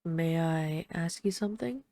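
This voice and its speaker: Low, mellow flirtatious vampire lady played by a woman. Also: no echo, clean studio sound. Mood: probing, matter-of-fact. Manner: teasing, coaxing, deliberate with lingering pauses. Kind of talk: intimate audio roleplay addressed to the listener.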